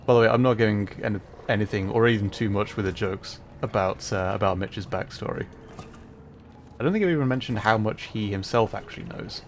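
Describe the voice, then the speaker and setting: A man's voice: on the low side, fairly quick, slight background noise. A man streaming a puzzle game as a VTuber, giving chatty, self-deprecating commentary and laughing often. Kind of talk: casual gameplay commentary.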